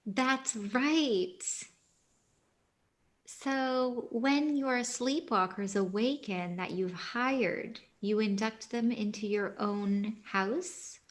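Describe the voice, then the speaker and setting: A woman casually playing a tabletop game, relaxed and chatty. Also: a little high, extremely slow. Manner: casual, laid-back, lots of fillers. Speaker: player.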